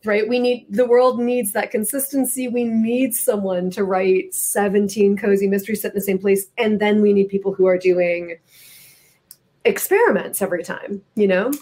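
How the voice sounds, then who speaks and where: A woman's voice: mid-range voice, steady tone, moderate pace, warm tone. Middle-aged American woman in literary conversation. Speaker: interviewer, novelist and teacher.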